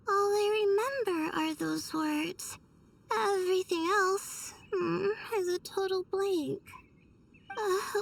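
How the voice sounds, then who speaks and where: A very high, creaky, drowsy timid girl. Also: recorded from a distance, full character voice. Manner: hesitant, halting, many murmured pauses. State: exhausted, fragile. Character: drowsy, timid, apologetic young woman scholar.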